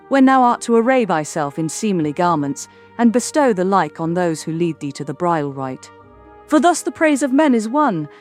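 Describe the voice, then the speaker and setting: A woman playing a goddess disguised as a young maiden, gently chiding in formal, archaic verse over music. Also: mid-range voice, close to an everyday voice. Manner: stately, archaic diction. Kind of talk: formal verse audio drama.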